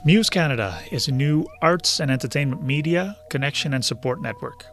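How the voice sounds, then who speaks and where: A man's voice: on the high side, animated, a little fast, a bit bright. A man reading a scripted promotional spot as an announcer. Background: music bed.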